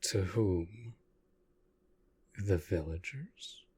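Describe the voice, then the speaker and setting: A man playing an aloof, commanding yet protective vampire master in an intimate roleplay audio drama, speaking in a formal, measured way. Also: deep voice, dark tone, breathy edge, full character voice. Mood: cold, menacing. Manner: formal, measured, deliberate speech.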